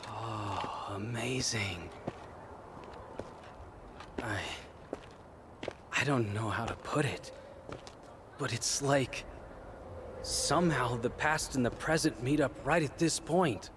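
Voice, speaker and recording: Guy acting an earnest, hesitant young adventurer in a game, mid-range voice, clear ambient noise behind. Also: mid-range voice, slow pace, pauses often, close to an everyday voice. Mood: awed. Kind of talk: video game cutscene acting. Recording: noisy ambient background.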